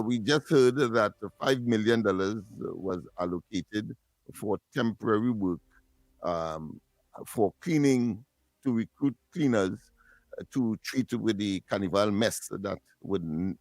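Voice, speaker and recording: A man giving measured radio commentary in formal wording with many fillers. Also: on the low side, no echo, rough recording. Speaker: radio co-host.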